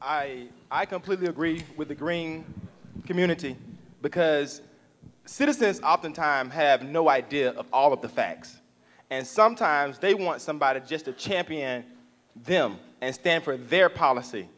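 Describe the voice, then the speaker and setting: A man at a workshop arguing his point in an animated, persuasive way. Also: fairly high pitch, moderate pace. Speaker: workshop participant. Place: conference room.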